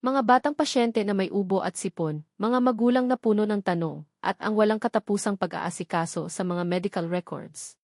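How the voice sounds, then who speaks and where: A woman's voice: mid-range voice, moderate pace, darkish tone, no echo, studio quality. A woman reading a scripted romance story as its narrator.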